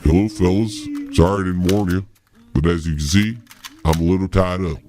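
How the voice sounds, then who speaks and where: A man playing a crude, laconic cartoon tough guy with deadpan, folksy wit. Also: low voice, brisk, background noise, natural voice. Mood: wry. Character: laconic, crude, hard-drinking tough guy of misfit crew.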